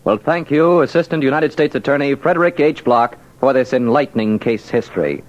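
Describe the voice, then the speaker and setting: A male announcer reads scripted copy in 1940s radio style. His voice sits at medium pitch on a dull, old recording. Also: lively intonation, brisk.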